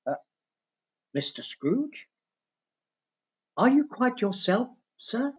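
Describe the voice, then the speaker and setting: A man playing a timid, apologetic clerk in a radio play, with a husky voice and a nervous, deferential way of speaking. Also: very high voice, sing-song, slow, exaggerated voice. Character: meek, apologetic clerk, timid male underling.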